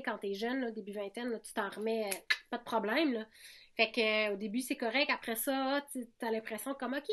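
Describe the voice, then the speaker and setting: A woman in her thirties with a Québécois accent speaks in a slightly high voice. She talks candidly and conversationally, with frequent restarts and repeated words as she works through her thoughts. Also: a bit bright. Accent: Québécois French. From Quebec. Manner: frank, many restarts and repetitions. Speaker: podcast guest, singer.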